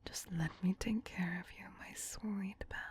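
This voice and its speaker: A woman voicing a doting, possessive vampire queen in a soothing, breathy whisper for an ASMR roleplay. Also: medium pitch, steady tone, darkish tone, lightly acted. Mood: tender, coaxing. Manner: soothing, slow, affectionate pet-name coaxing.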